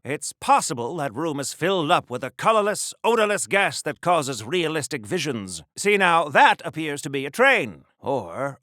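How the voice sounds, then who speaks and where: Corny 1940s superhero game voice, pompous n fussy, kinda high, super dry close sound. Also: male voice, pushed and bright, no echo, full character voice. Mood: awed, dramatic. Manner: theatrical, formal, fussy, earnest. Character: pompous, oblivious, old-fashioned 1940s Golden Age superhero. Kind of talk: 1940s radio-serial style game voice acting.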